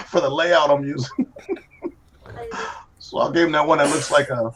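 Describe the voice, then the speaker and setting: A man's voice: on the high side, measured pace, noisy background. A man chatting casually and joking on a live drawing stream, laughing often at his own remarks. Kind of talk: casual chat while drawing.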